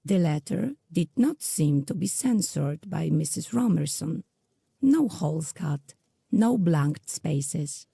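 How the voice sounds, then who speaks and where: Husky, heavily creaky female story narrator. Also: sits low, animated, slow pace, dark tone, no room sound, clean studio sound. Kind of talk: scripted audiodrama story narration.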